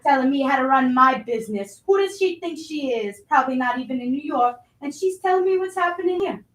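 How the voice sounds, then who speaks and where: A woman's voice: high voice, lively intonation, fairly quick. A woman playing an abrasive, impatient, foul-mouthed restaurant boss in a staged reading, brash, blunt and badgering. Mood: angry, demanding. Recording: roomy echo.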